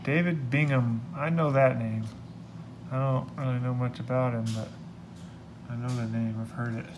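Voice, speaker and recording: A young man casually talking to himself while opening trading card packs at a table indoors. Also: normal speed. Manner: relaxed, muttering to himself, low-key. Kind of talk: casual solo commentary. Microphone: camera across the table. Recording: loud crinkling and room noise.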